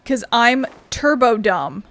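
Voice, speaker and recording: A woman's voice: fairly high pitch, sing-song, slow, a bit bright. A woman giving casual let's-play commentary in a rambling, playful, self-deprecating way. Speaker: gameplay streamer.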